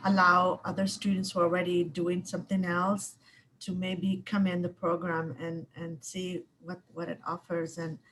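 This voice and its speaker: A woman's voice: on the low side, level tone, moderate pace, a bit bright, slight background noise, poor audio. A woman giving hesitant, unscripted comments on a video call, with many fillers.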